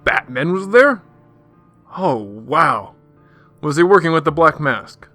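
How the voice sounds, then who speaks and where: Man playing a bright-voiced breezy rich socialite. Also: a little high, very animated, slow pace, no echo, faint music behind, full character voice. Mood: feigning naivety. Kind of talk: radio drama acting.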